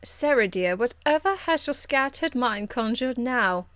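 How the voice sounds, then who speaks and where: A woman voicing a haughty, aristocratic lady mage from a fantasy game, icily polite, measured and condescending. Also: on the high side, expressive, fairly bright tone, no room sound. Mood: weary disdain. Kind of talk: video game character acting.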